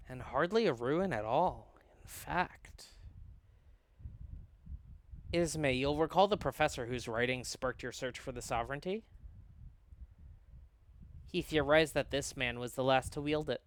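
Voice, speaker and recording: A man reading a visual novel aloud as a learned, cautious scholar, formal and measured as he explains and narrates. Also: medium pitch, lightly acted. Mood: explaining.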